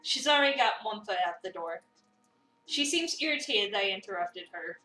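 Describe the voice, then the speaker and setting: A woman's voice: mid-range voice, animated, normal speed, bright, forceful tone. A woman doing a playful, theatrical dramatic reading of a visual novel as a streamer. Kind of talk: dramatic reading with casual commentary. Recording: roomy, distant mic, music behind.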